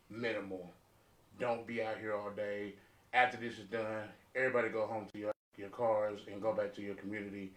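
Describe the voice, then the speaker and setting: A man's voice: fairly low pitch, a bit bright. American man in a very echoey room. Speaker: podcast panelist. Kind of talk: casual round-table discussion. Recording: roomy echo, distant from mic.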